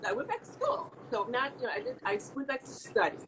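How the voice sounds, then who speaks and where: A woman's voice: high voice, huge pitch swings, quick pace, slight background noise. A middle-aged woman from the American Midwest, chatting casually as an interview guest, laughing often and restarting her sentences.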